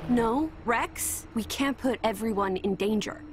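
Woman playing a gentle high-voiced young woman. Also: unhurried, lightly acted. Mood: concerned. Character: gentle, earnest, caring young woman companion. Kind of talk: video game cutscene acting. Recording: music behind the voice.

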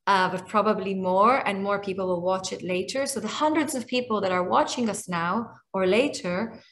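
Israeli woman in her 40s, hebrew native, mid pitch, heartfelt and halting, webcam call from home. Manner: earnest, warm, restarts often, slows on emotional points. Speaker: guest speaker and author. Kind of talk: heartfelt talk on a video call. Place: small room at home. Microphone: webcam on a video call.

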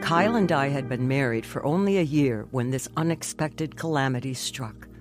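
An earnest young American stroke survivor. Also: female voice, very deep voice, moderate pace, soft background music. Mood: reflective. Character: young married stroke survivor telling her story, earnest and reflective. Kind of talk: dramatized first-person testimony, radio drama narration.

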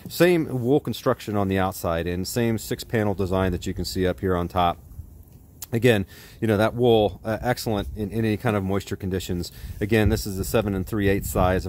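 A man's voice: low-pitched, a little fast, darkish tone. A man in his forties with an American accent gives a casual, conversational gear review outdoors in a backyard.

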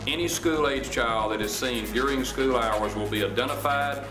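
Steady sheriff in an echoey room. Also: male voice, medium pitch, normal speed, music in the background. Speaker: county sheriff. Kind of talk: public service announcement.

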